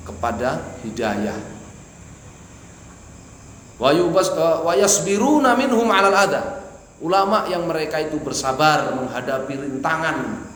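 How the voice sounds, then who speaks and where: A man's voice: on the high side, a bit bright. A man giving a mosque study lecture, reading Arabic phrases and explaining each in Indonesian, asking the audience questions and answering them himself. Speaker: religious teacher. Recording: echoey hall with faint hiss.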